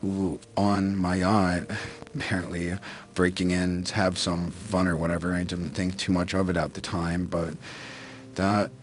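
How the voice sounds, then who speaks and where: A man playing a guilt-ridden, middle-aged rich businessman in a dramatic roleplay, speaking haltingly and repeating his words. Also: low-pitched, little pitch movement, a little hiss or hum. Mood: regretful, shaken.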